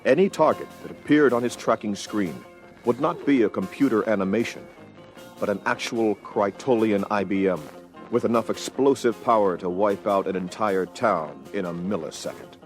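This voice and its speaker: A man narrating an audio adventure story from a script in a measured, dramatic storytelling manner. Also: on the low side, faint music behind.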